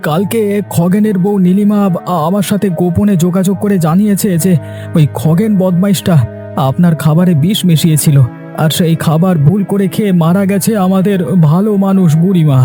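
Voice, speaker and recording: Man acting a high-pitched earnest village helper. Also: steady tone, a little fast, dark tone, close to an everyday voice. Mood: urgent, warning. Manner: earnest, grave, deferential. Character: loyal, earnest village man warning his master. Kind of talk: dubbed cartoon dialogue. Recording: music under the voice.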